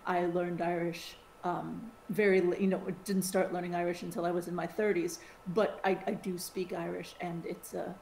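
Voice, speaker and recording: A middle-aged woman from the United States, co-hosting a podcast in a casual, animated chat with frequent restarts and side remarks. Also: lowish voice, normal speed, creaky, few pauses. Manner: chatty, animated, with many restarts. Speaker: podcast co-host. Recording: roomy sound with faint hiss.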